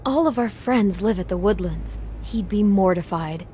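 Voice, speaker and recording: A woman's voice: medium pitch, moderate pace, dark tone, a little hiss or hum, natural voice. A woman playing an anxious, embarrassed young woman in a video game, hesitant and apologetic.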